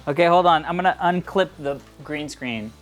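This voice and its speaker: A man's voice: fairly high pitch, normal speed. Joking man chatting over music. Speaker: show host. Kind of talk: casual chat.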